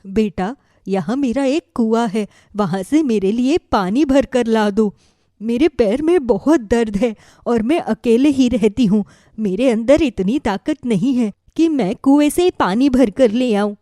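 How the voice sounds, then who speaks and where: A kindly old woman from a cartoon folk tale, played by a woman. Her voice is soft, mellow and fairly high. She speaks with affectionate, gentle pleading, recorded dry. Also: lively intonation, a little slow, dry recording. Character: kindly old village woman living alone. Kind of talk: animated Hindi moral story voice acting.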